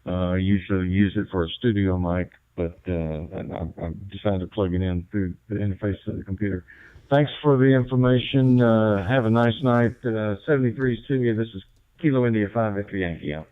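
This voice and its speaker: A man's voice: fairly low pitch, a little fast, clear, resonant tone, no echo, phone-quality sound. A radio operator, a man, talking politely on air and often stumbling through restarts. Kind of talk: casual on-air chat. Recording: thin radio transmission.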